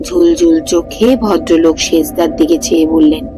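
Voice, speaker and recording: A woman's voice: sits low, moderate pace, poor audio. A woman narrating a scripted ghost story in a dramatic storytelling style. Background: music.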